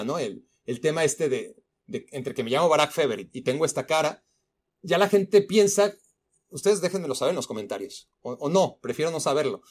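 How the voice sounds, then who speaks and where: A man's voice: on the high side, slightly rough. A man with a Mexico City Spanish accent hosting a football podcast, talking in a rambling casual monologue full of fillers and swearing. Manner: rambling, frequent fillers and profanity.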